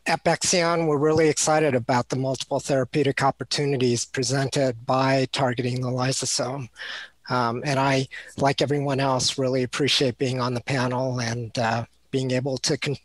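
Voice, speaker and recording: Founder guy on a panel, dry close sound, deliberate polished phrasing. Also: mid-range voice, no echo, low-quality recording. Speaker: company founder and CEO, panelist. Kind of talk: prepared self-introduction on a panel.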